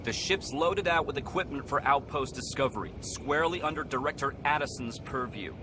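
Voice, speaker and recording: A male voice of middling pitch with clear noise behind: an actor as a harried station official in a video game. He is dutiful, officious and a little hesitant. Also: a little fast, natural voice. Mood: officious, stern. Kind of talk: video game cutscene acting. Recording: clear outdoor-like ambient noise.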